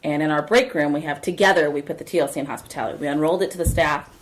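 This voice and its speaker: A woman's voice: deep voice, very fast, a little hiss or hum. A woman co-hosting a casual podcast, chatty and telling a story about her workplace. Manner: chatty storyteller, animated in quotes. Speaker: podcast co-host.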